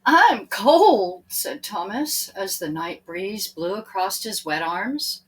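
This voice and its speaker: A woman's voice: medium pitch, animated, takes it slowly, fairly bright tone, slight background noise. A woman reading a children's story aloud expressively, speaking to her young listeners in asides.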